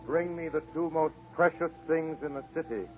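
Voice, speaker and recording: A man's voice: a little high, normal speed, pitched above the performer's own voice, natural voice. A man voicing God as solemn, benevolent and majestic, in 1940s radio acting. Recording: tinny old 1940s radio broadcast, faint orchestral music.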